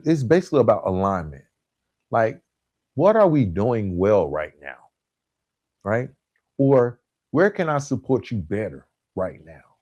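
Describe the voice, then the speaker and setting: A man delivers a motivational podcast monologue at a medium pitch. He is earnest and emphatic, breaking his points into short, deliberate phrases and repeating key words to drive them home. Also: a little mellow. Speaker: podcast host.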